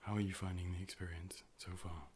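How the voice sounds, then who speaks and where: Guy acting as robot eye doctor hologram for asmr, super flat low voice, calm n clinical, deadpan, bit of hiss. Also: natural voice. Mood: hushed, clinical. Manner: deliberate, measured, formal, deadpan robotic phrasing. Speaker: ASMR role-play performer as optician. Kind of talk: ASMR medical role play, personal attention.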